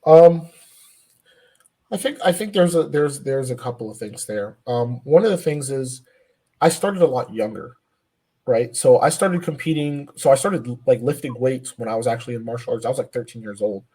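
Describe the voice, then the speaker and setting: A man's voice: medium pitch, expressive, normal speed, warm tone, breathy edge. A man in his twenties, a powerlifter guest on a podcast, talking earnestly with many fillers and restarts. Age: 20s. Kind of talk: casual podcast interview.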